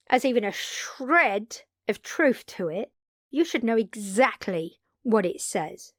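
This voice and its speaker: A woman playing a brash, excitable undead vampire in an audio drama, talking casually and expressively straight to the listener with salty language and dramatic pauses. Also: on the high side, no room sound, clean studio sound. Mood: accusing, tense. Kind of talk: intimate audio drama roleplay addressed to listener.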